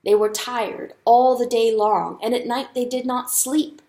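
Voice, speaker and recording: A woman's voice: a little high, fairly even intonation, measured pace, dark tone. A woman narrating an audiobook story as a dramatic, scripted reading. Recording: echoey, roomy sound.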